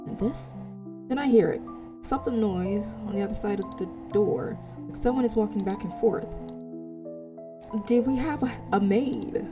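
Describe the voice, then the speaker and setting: A woman reading a visual novel aloud as its player, dramatic but halting over words, with casual asides. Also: mid-range voice. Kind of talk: reading game text aloud with casual reactions. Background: music.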